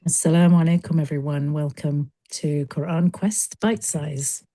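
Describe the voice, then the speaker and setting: Mellow low-voiced woman reciting slowly. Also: steady tone, no room sound. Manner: slow, careful recitation. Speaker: co-host. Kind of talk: reciting Arabic verses and casual chat. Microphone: video call.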